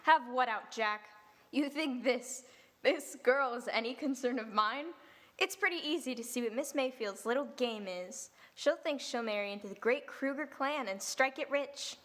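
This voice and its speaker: A woman acts a brash, jealous socialite in a radio play. She sneers and needles, her voice a little high. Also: normal speed, a bit bright. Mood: sneering. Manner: sneering, combative. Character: brash, jealous wealthy woman, self-made. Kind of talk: radio drama acting.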